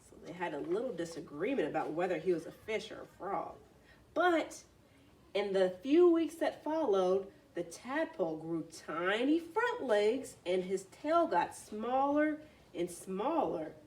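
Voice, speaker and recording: A woman's voice: mid-range voice, very animated, measured pace, a little mellow, recorded from a distance. A woman reading a children's story aloud expressively, adding simple explanatory asides.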